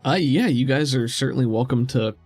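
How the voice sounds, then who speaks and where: Husky-voiced man running a tabletop game. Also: medium pitch, fairly quick, a little mellow, no room sound, faint music behind. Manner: explanatory, conversational. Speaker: game master. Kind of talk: casual tabletop game narration.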